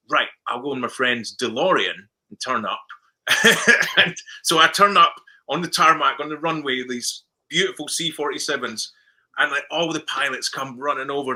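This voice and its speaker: A man's voice: on the high side, bright, forceful tone. A Scottish man, a film director, chatting in an interview and telling stories in a loose, repeating way as he acts out what people said. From Scotland. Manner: chatty storyteller who repeats words and acts out dialogue. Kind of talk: casual interview chat.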